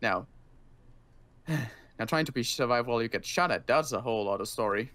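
A man's voice: mid-range voice, lively intonation, normal speed, a bit bright, no echo, lightly acted. Man playing a weary, grudging apocalypse survivor. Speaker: roleplay player. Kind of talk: improvised game roleplay.

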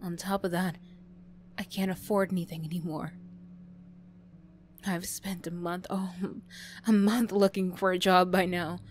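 A woman playing a defensive teenage school bully girl who reluctantly opens up, prickly and halting, in an emotional ASMR roleplay. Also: on the low side, little pitch movement, a little hiss or hum, close to an everyday voice. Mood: despairing, confiding. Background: music.

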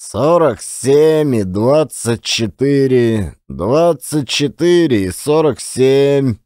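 A man voicing a surly, contemptuous old billiard marker who grumbles in a drawn-out monotone. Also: mid-range voice, no room sound, studio quality. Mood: monotone, displeased. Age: older.

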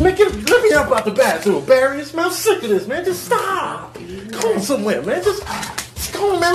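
A man's voice: extremely high pitch, reverberant, noisy background. A man voicing an exasperated, put-upon dog in a comedic animal voice-over, pleading in whiny, stammering repetitions.

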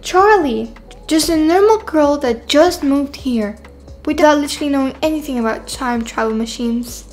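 A woman voicing an excitable, self-assured young girl in a game roleplay, chatting and thinking aloud dramatically. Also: high voice, moderate pace, soft background music, natural voice. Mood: smug. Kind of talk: voiced video game roleplay.

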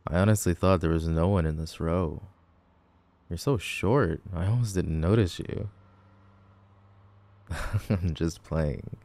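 A man's voice: low-pitched, takes it slowly, pauses often, mellow, dry recording. A man playing a cocky, grumpy tall teenage delinquent boy in an audio roleplay, sarcastic, teasing and dismissive. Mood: casual, aloof. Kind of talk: boyfriend ASMR audio roleplay.

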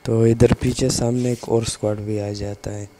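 A man with a sore throat calmly explaining his game moves to viewers in a voice-over. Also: fairly low pitch, normal speed, background noise. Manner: calm, explanatory, addresses viewers directly. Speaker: gaming YouTuber narrating his match. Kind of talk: voice-over commentary on gameplay.

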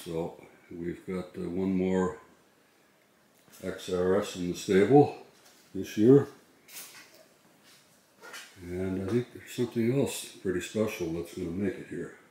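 A man's voice: deep voice, steady tone, takes it slowly, pauses often, mellow, reverberant. A middle-aged man chatting casually to viewers as a vlog host, in an informal, hesitant way. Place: inside a moving truck and a garage.

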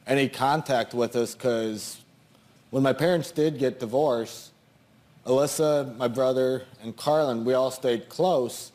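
A man in his thirties speaks haltingly as a talk show guest, searching for his words. His voice is of ordinary pitch. He is heard in a television studio. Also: a little mellow. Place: TV studio audience seat.

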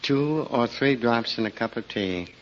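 An actor playing a henpecked, lazy village idler in a 1940s radio drama, drawling playfully with comic repetition and a timid streak. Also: male voice, mid-range voice, expressive, a little slow, close to an everyday voice. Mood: sly, interested. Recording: muffled, faintly noisy 1940s radio recording.

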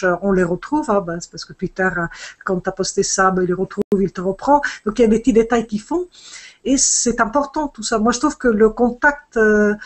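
A middle-aged woman whose first language is Italian, a fashion designer chatting on a video call from home, hesitant with many fillers and restarts. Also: medium pitch. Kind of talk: casual video call chat. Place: home room, indoors. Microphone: earphone headset on video call.

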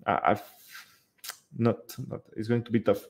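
A man's voice: on the low side, fairly even intonation, gravelly. Man, thirties, live webcam host, casual, hesitant, filler-heavy, dim indoor room. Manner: halting, many fillers and restarts, informal "man". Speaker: livestream host answering viewer questions. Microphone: headset or desk mic, webcam framing.

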